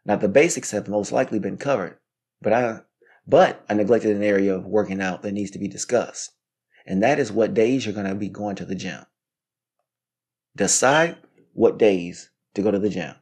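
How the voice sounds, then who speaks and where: A man in his thirties giving scripted, instructional solo podcast narration in a measured way, as if reading from notes. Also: on the low side, darkish tone. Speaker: podcast host.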